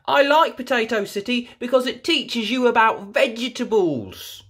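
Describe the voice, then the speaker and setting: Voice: mid-range voice, lively intonation, moderate pace, a bit bright, pitched above the performer's own voice. A woman voicing a cheerful, eager little girl pig in a children's storybook. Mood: excited, gleeful. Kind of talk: children's storybook read aloud.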